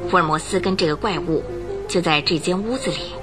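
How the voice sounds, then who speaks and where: A woman's voice: fairly low pitch, fairly quick, over music. A woman narrator telling a radio drama story from a script in a vivid, suspenseful, dramatic way.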